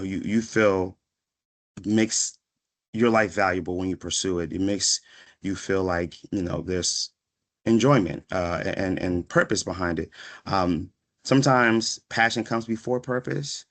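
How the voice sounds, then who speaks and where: Guy in his 30s w a lowish voice, talking to students about his path, reflective, conversational motivational talk. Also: fairly bright tone. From born in Ghana, raised in Washington, D.C. area from age five. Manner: reflective, conversational, often checks in with "right?". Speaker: guest speaker, psychiatric pharmacist. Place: online video session.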